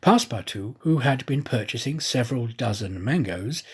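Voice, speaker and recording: A man reading a classic novel aloud as an audiobook narrator, with theatrical, measured phrasing. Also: medium pitch, animated, a little mellow, slightly rough.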